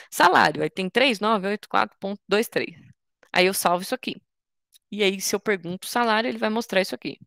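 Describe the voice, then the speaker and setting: A woman's voice: mid-range voice, gravelly, a bit bright, no echo, clean studio sound. A woman in her twenties from Brazil, teaching an online coding workshop in a patient, informal way, explaining step by step with frequent restarts. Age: 20s. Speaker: workshop instructor.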